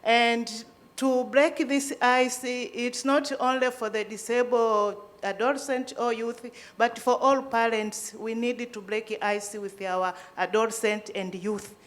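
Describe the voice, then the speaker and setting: A woman's voice: on the high side, steady tone, slow pace. A middle-aged woman with a Tanzanian accent, a student making an earnest, unscripted comment from the audience.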